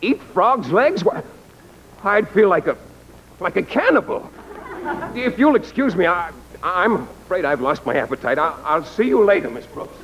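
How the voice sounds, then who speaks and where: A man's voice: high-pitched, very animated, normal speed. An actor playing a bashful, mild-mannered American man in old radio comedy, earnest and squeamish, with hesitant stammers. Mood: appalled, flustered. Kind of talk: 1940s-50s radio sitcom acting. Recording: dull, noisy old radio recording.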